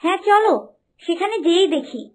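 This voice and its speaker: A woman's voice: extremely high pitch, fairly quick. A woman playing a bewildered young queen in a cartoon, with a puzzled, questioning manner. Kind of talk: cartoon story dubbing.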